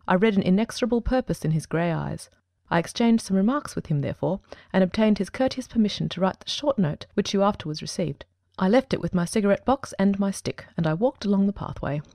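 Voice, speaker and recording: Woman doing a composed gentleman detective in an audiobook, low-ish mellow voice, formal and precise, dry close sound. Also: vocal fry, no echo. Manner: measured, formal, articulate. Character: composed, precise male detective recounting his escape. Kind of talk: dramatic audiobook reading.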